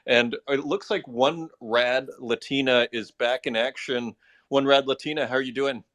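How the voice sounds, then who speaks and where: A man's voice: medium pitch, fairly even intonation, moderate pace, a bit bright. A man hosting a casual art discussion on a live audio call, asking guests questions in a conversational way. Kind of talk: casual interview chat.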